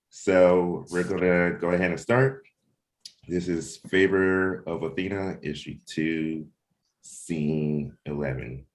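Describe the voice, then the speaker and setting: A man hosting a livestreamed table read in a casual, informal way, pausing between short phrases. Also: sits low. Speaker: host, comic book creator and narrator.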